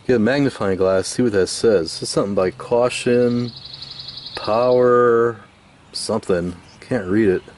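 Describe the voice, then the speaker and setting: Clear background noise sits behind a man with a fairly low voice. He talks casually through hands-on restoration work. His delivery is unhurried and hesitant, as if thinking out loud. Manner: unhurried, hesitant, musing aloud. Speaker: radio restoration hobbyist.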